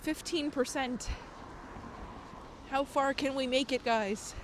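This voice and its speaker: A woman's voice: high-pitched, fairly even intonation, slow. Woman on a cold night stream outside, bit nasal, chatty, laughs a lot, faint street noise. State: recovering from a cold, slightly nasal. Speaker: IRL streamer. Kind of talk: casual live-stream chat reading viewer comments. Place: outdoors on city streets at night.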